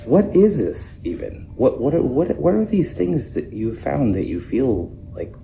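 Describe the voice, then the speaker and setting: A man's voice: mid-range voice, big pitch swings, a little slow, gravelly, warm tone, faint music behind, poor audio. An actor playing a skeptical, rattled man in a naturalistic fiction podcast, stammering conversationally as he tries to reason. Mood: nervous, reasoning.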